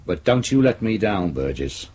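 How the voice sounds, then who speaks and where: A man's voice: lowish voice, sing-song, moderate pace, darkish tone, slight background noise. A man acting in a radio drama as a domineering senior police detective, brusque and authoritative. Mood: stern, threatening.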